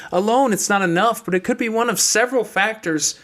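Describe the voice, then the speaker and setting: Earnest young female advocate male reader acting, high-set voice, insistent, probing, audiobook. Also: fairly quick, fairly bright tone. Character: earnest, persistent young woman arguing as advocate.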